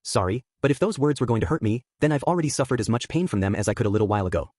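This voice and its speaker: A man's voice: mid-range voice, animated, very fast, darkish tone, no echo, close to an everyday voice. A man voicing a stubborn young man who speaks with desperate defiance, in a read-aloud story. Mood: defiant.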